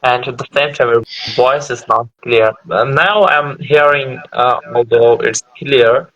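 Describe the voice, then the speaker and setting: A man's voice: mid-range voice, steady tone, a little slow, a bit bright, lo-fi sound. A man with a Bangladeshi English accent, a Bengali speaker, chatting as a guest on a live stream. He talks hesitantly, with many fillers and restarts. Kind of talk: casual chat on a live stream. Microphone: online live stream call.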